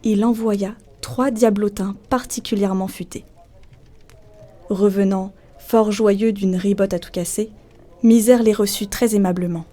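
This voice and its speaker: A woman's voice: medium pitch, fairly even intonation, unhurried, mellow. A woman narrating a literary folk tale as a scripted storyteller.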